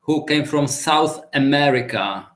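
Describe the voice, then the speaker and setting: A man from the Czech Republic giving a relaxed, chatty webinar presentation over a video call, with many filler pauses. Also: fairly high pitch. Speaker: guest speaker. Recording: echoey room on a video call.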